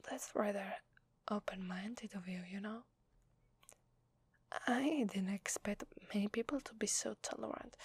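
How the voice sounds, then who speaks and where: A woman's voice: mid-range voice, dry recording, exaggerated voice. Woman playing a whispering vampire lady with an Italian accent. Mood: wistful, curious. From Italy. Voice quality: soft breathy whisper. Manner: hesitant, apologetic, confiding. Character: gentle, apologetic female vampire confiding in a human. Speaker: ASMR voice actor. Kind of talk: whispered ASMR roleplay. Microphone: close microphone, dry.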